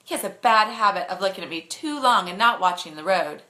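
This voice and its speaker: A woman narrates a bedtime story for listeners online. Her voice is bright and clear in its projection, at a middle pitch, and her reading is expressive. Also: fairly quick. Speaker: author reading to online viewers.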